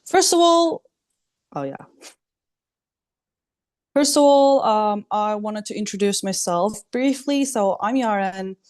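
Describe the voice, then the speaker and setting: A young woman in her twenties with a Turkish accent, giving a hesitant online presentation, full of ums, in quick bursts. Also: mid-range voice, darkish tone. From Turkey. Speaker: student presenter. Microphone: video call.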